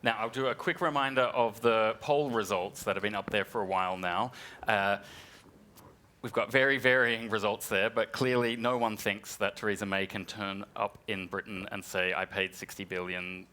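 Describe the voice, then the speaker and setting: A brisk male moderator steering a conference panel and handing out turns. His voice is lowish but notably bright and forceful. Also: steady tone. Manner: brisk and directive. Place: conference hall.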